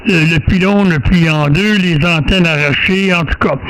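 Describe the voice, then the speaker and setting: A man's voice: sits high, takes it slowly, fairly bright tone, poor audio. A man with a Quebec French accent chats casually and a little hesitantly as an amateur radio operator. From Quebec, Canada. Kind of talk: casual radio contact chat.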